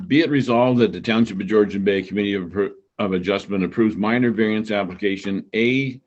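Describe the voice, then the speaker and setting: A low-voiced man chairs a committee meeting, thanking members and reading out a formal resolution in a measured way. The audio comes through muffled, like a video meeting. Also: a little mellow. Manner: measured, reads resolutions aloud.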